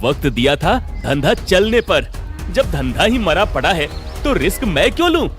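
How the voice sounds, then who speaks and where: A man acts out a greedy village sweet-seller who lends money and bullies. He taunts and threatens in a fairly high, lively voice, in cartoon-story style. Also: moderate pace, soft background music. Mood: mocking, threatening.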